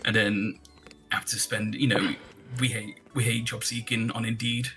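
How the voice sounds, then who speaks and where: A man's voice: on the low side, flat delivery, moderate pace, music in the background, rough recording. A man in his twenties, a guest chatting casually on a livestream, laid-back and dry, swearing now and then. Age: 20s.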